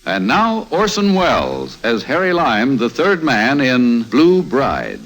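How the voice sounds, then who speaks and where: A man's voice: medium pitch, huge pitch swings, takes it slowly, rough recording. A man announcing the opening of a 1950s radio drama in a grand, dramatic way, with zither music behind him. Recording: vintage radio broadcast.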